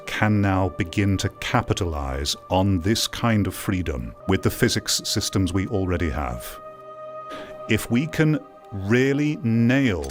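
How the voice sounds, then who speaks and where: A man giving opinion commentary on VR gaming in an emphatic, deliberate way, repeating phrases for weight. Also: low voice. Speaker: VR gaming video creator. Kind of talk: opinion commentary over gameplay. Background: music.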